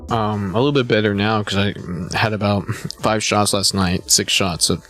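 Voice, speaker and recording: A man's voice: lowish voice, steady tone, moderate pace, fairly bright tone, faint music behind. A man in his twenties chatting casually to his live stream viewers, a bit hungover, rambling and laid-back with lots of "man" and "you know.". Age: 20s. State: a little hungover.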